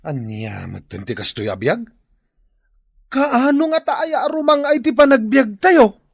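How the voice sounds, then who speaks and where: A man's voice: very high voice, very animated, a little slow, vocal fry, slightly rough, dry recording, studio quality, full character voice. Swooping, whining lazy husband acted by a man. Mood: indignant. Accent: Ilocano. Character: lazy, whining, self-pitying husband who shirks work. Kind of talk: Filipino radio drama acting.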